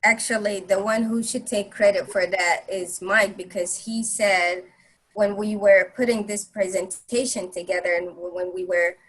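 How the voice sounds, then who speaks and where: A woman's voice: medium pitch, fairly even intonation, moderate pace, a bit bright, low-quality recording. A woman whose first language is Arabic, talking in a casual group discussion with many fillers and restarts. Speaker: presenter on language barriers.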